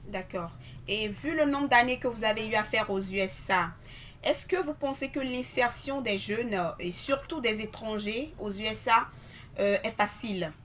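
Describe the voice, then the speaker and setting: A woman's voice: on the high side, normal speed, fairly bright tone, slight background noise. A woman with a Beninese accent hosting a formal broadcast interview. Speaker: interview host.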